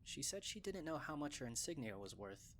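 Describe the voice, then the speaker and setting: A man's voice: on the high side, very animated, a little fast, fairly bright tone. Male story narrator over faint ambient noise. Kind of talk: scripted audiobook-style story narration.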